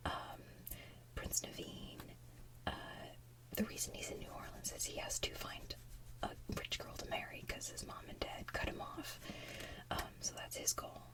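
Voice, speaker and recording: Female ASMR host, whispered and breathy, very unhurried, gentle soft delivery. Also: very high voice, far from the mic, poor audio.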